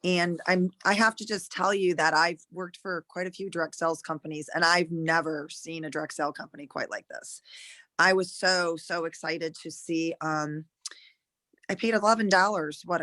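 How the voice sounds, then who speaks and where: A hoarse woman with a lowish voice, chatting at length as a new consultant on a video call. She rambles and restarts her sentences often, and the sound is close and dry. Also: fairly even intonation, normal speed, a bit bright, no echo.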